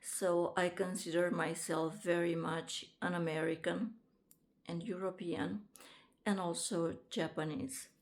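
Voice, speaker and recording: A woman, introducing herself to camera in a casual vlog, halting often with ums and restarts. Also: lowish voice, level tone. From Romania. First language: Romanian. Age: 50s. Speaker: vlogger and author.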